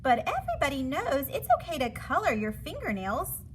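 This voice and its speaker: A woman's voice: sits high, wildly swooping pitch, moderate pace, slight background noise. A woman voicing an eager, insistent little girl who pleads and wheedles in a children's storybook. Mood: bored, then dismayed.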